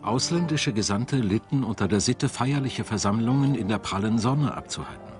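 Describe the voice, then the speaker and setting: A man reads scripted documentary narration in a measured, articulate, storytelling way. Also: mid-range voice. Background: background music.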